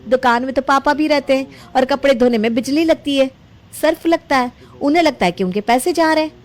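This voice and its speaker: A woman voicing a scheming, sharp-tongued young daughter-in-law who complains and manipulates in a story drama. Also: high voice, pitched above the performer's own voice, natural voice.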